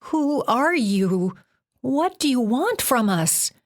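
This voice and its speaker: A woman's voice: high voice, big pitch swings, takes it slowly, pitched above the performer's own voice, full character voice. Woman doing a scared shivering young girl. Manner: shaky, pleading, teeth chattering. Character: frightened freezing mortal young girl.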